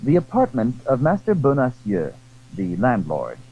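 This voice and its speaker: A thin, phone-like old recording carries a male narrator telling an adventure tale. His husky voice moves widely in pitch, with dramatic, scripted phrasing. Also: wildly swooping pitch, a little slow, mellow.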